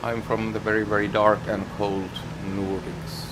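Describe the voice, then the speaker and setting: A man from Finland with a Finnish accent, live-streaming a flight simulator and thinking aloud in halting, unhurried short phrases. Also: fairly low pitch, flat delivery, background noise.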